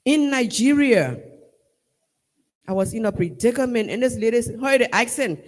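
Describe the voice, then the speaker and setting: A man with a Liberian accent giving a formal vote of thanks at a ceremony, measured and emphatic, rallying the crowd. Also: extremely high pitch, lively intonation. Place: large hall at a university ceremony. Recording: long reverb of a large hall.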